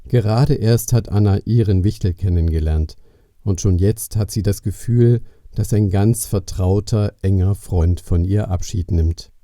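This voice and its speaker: Very mellow, low male storyteller. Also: no room sound. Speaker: narrator. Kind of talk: scripted storytelling for a family Christmas audio play.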